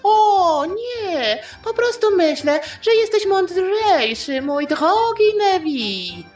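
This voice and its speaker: A woman voicing a timid, sly, flattering male politician with a wheedling, hesitant manner in a satirical audio play. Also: very high voice, takes it slowly, soft background music, pitched above the performer's own voice, heavily acted. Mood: evasive, flattering.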